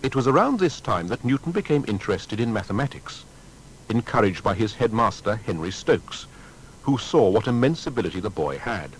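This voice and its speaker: A man narrating a scripted biography in a measured, formal and dramatic way. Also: sing-song, low-quality recording. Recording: faint background rumble and noise.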